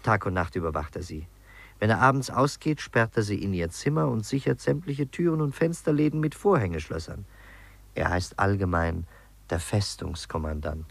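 A worldly painter and amused confidant in a 1950s radio play. His voice sits fairly low. He talks with jovial, teasing relish and a theatrical turn of phrase. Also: moderate pace, creaky, breathy edge, no room sound. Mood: gossipy, amused.

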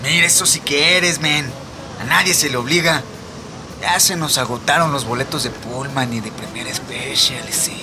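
Behind steady noise, a street-tough sidekick acted by a man speaks in a medium-pitched voice. He has a Mexican border accent and a slangy, distrustful way of talking, in radio drama style. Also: normal speed, close to an everyday voice. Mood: laid-back. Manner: slangy, mixing in bits of English.

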